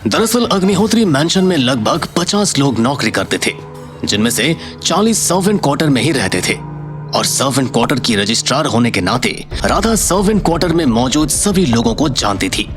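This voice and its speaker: A man's voice: mid-range voice, big pitch swings, fairly quick, over music. A man narrating a scripted audio story in a dramatic storytelling style.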